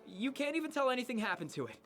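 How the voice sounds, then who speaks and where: Animated, relentlessly cheerful teenage boy. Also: very high voice, rapid-fire, soft background music, full character voice. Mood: earnest, friendly. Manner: upbeat, easygoing, unbothered. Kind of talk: visual novel voice acting.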